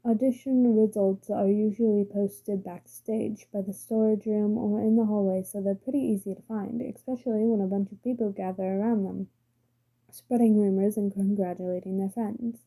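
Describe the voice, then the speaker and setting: A woman's voice: mid-range voice, steady tone, moderate pace, dark tone, low-quality recording. A woman with a strained, failing voice reading a story aloud carefully, with small slips. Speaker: story reader.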